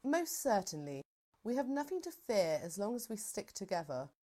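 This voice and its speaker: Resolute general played by a woman, animated delivery. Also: medium pitch, moderate pace. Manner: formal, authoritative. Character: resolute, dignified starship general. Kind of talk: scripted fan audio roleplay.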